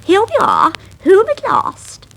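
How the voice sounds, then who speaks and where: A woman voicing a boastful, bossy female town mouse in a children's story, with a brisk, gushing, exclamatory manner. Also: very high voice, wildly swooping pitch, vocal fry, fairly bright tone, voice pushed up, exaggerated voice. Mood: excited. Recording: noisy 1960s vinyl record.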